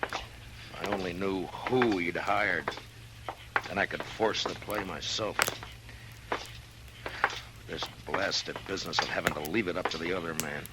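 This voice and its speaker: An American man acting a stern, weary frontier marshal in 1950s radio drama, terse and forceful. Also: sits low, steady tone, a little slow, pauses often, very noisy. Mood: grim, frustrated. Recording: vintage radio recording with music.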